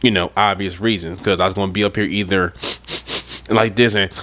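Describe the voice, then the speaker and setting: A man's voice: low-pitched, fairly even intonation, a little fast, fairly bright tone. Laid-back man with a stuffy cold. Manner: rambling, profane, laid-back. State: stuffy nose from a head cold. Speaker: podcast host. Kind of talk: casual solo podcast talk.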